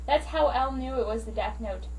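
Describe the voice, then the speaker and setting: A woman's voice: fairly high pitch, fairly quick, a little mellow, far from the mic, slight background noise, low-quality recording. A woman giving a dramatic reading of a story as an amateur narrator. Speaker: amateur reader of fan fiction.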